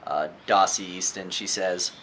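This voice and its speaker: A man giving a casual, opinionated book review monologue in an earnest, emphatic way, often halting and posing rhetorical questions. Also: low-pitched, steady tone, a bit bright, a little hiss or hum.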